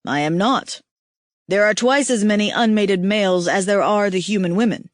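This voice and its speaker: A woman voicing a big, tender alien male who is devoted to his mate, speaking earnestly and softly. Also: medium pitch, normal speed, dry recording, clean studio sound, close to an everyday voice. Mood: tender teasing.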